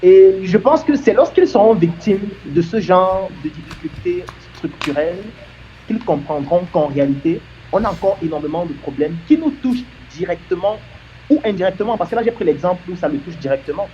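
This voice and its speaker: A man's voice: high voice, lively intonation, brisk, dark tone, slight background noise. Earnest man, muffled recording. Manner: earnest, emphatic, builds points with examples. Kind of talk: argumentative discussion.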